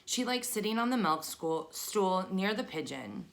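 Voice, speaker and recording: A woman's voice: medium pitch, big pitch swings, a little slow, creaky, poor audio. A woman narrating a children's book as she reads it aloud. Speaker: storybook reader.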